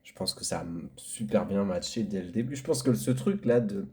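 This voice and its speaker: Man, casual co-host: deep voice, warm tone, easygoing and agreeable. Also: moderate pace. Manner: relaxed, agreeing, loose with fillers. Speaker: podcast co-host. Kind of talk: casual chat.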